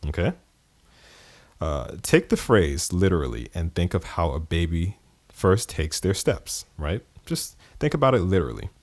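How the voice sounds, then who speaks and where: A man's voice: deep voice, big pitch swings, normal speed, no echo. A man from the East Coast of the United States hosting his podcast, talking in a loose, conversational way with fillers and chuckles. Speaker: podcast host. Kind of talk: casual podcast monologue.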